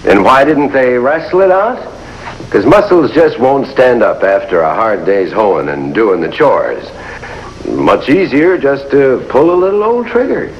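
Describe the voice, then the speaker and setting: An actor playing a glib, folksy country defense counsel with a rural American accent and an oratorical, drawn-out delivery. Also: male voice, medium pitch, big pitch swings, muffled recording. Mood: breezy, persuasive. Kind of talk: 1950s television drama acting. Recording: faintly noisy old TV soundtrack.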